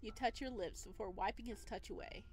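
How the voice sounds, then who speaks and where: A woman reading a visual novel aloud and commenting on it in a gushing, excitable way. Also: medium pitch, fairly quick, low-quality recording. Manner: gushing, excitable over cute things. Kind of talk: dramatic read-aloud with excited playthrough commentary. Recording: background music behind voice.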